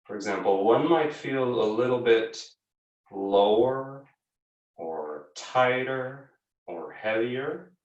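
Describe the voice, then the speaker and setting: A man teaching a guided yoga class, giving gentle, measured instructions with frequent pauses. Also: medium pitch, expressive, dull audio. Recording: echoey room, distant microphone.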